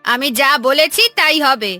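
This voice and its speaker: A selfish village wife, voiced by a woman, speaks high and sharp. She is curt, jealous and dismissive, in cartoon moral-tale dialogue over faint music. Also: measured pace, no echo. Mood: cold refusal. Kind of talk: animated moral story dialogue.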